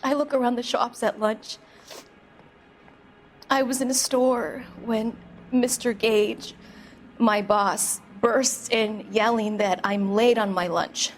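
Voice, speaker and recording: A woman's voice: on the high side, fairly even intonation, slow, a little hiss or hum. An actress playing a young female shop employee in a video game, an anxious and evasive murder witness who speaks hesitantly and guardedly. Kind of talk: dramatic video game acting.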